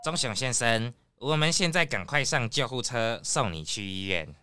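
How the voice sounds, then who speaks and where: A man's voice: medium pitch, animated, moderate pace, sharp tone. A polite, professional paramedic acted by a man in a children's radio play. His mid voice moves animatedly over prominent music. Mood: apologetic. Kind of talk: children's audio drama acting.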